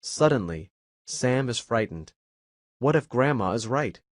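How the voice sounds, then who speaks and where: A man reading a children's story aloud as an English teacher, calm and measured. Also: mid-range voice, no echo, studio quality.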